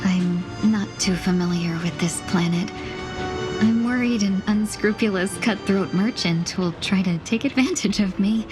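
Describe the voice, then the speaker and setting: A woman's voice: mid-range voice, unhurried, natural voice. A woman voicing a gracious, polite young lady envoy in a video game, poised with a playful, sly streak. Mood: coy, polite. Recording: loud game music behind.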